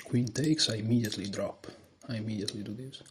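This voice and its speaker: A man with a deep voice talking quietly through his moves as he plays an online chess game. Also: fast, a little hiss or hum. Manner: quiet, low-voiced thinking aloud. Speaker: chess streamer. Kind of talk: live game commentary.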